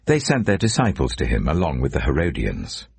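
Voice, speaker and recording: Man, Bible narrator, very husky, widely swinging intonation, emphatic storytelling. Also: very deep voice, wildly swooping pitch, darkish tone, no echo. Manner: emphatic, storytelling delivery with deliberate stresses. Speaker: scripture narrator. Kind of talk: dramatic scripted Bible narration.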